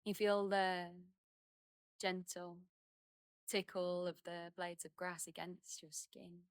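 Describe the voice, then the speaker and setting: Woman gently guiding meditation, very even pitch. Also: no echo, studio quality. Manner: gentle, unhurried phrasing with many pauses. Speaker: meditation guide. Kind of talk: guided meditation, slow and soothing instruction. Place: outdoors in a garden.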